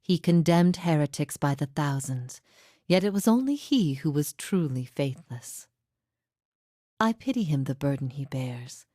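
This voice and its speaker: Game role: solemn, resolute heretic spirit woman, deep and soft-toned, contemplative delivery. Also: lively intonation, no room sound, studio quality. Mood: philosophical, measured, then sorrowful, pitying. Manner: measured, grave, contemplative, rhetorical. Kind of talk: dramatic video game dialogue.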